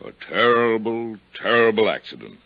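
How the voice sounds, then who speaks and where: An actor playing an older, pompous small-town western mayor with florid, halting speech in a 1950s radio drama. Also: male voice, lowish voice, very animated, creaky. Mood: urgent, defensive. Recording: dull old 1950s radio recording.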